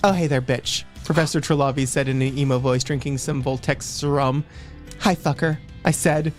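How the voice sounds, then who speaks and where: A woman's voice: extremely low pitch, fairly even intonation, moderate pace, soft background music. A woman co-hosting a livestream, giving a mock-dramatic reading of fan fiction, theatrical and stumbling over words, with asides thrown in. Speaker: livestream co-host.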